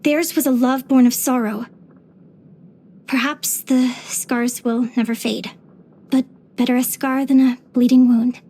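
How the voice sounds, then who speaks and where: A young female adventurer from a fantasy video game. Her voice sits very high and girlish. She speaks with earnest, expressive wonder, like a cheerful naturalist who is curious about the whole world. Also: a little slow, husky. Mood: earnest, reflective. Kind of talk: video game character voice lines.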